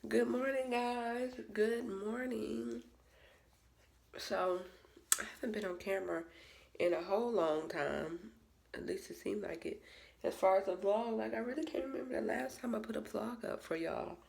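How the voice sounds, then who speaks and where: A woman's voice: medium pitch, normal speed, creaky, a little mellow, a little hiss or hum. A woman vlogging casually, chatty and rambling, and nasal and stuffy from a cold. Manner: chatty, rambling, uses slang. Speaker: vlogger and soldier. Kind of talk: casual vlog chat.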